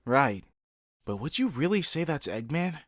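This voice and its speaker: A man's voice: a little high, big pitch swings, a little slow, fairly bright tone, no echo, studio quality, full character voice. A man voicing a wry, skeptical young hedgehog hero in a cartoon comic dub, talking in a casual, sardonic way. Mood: concerned. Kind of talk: comic book fan dub cartoon acting.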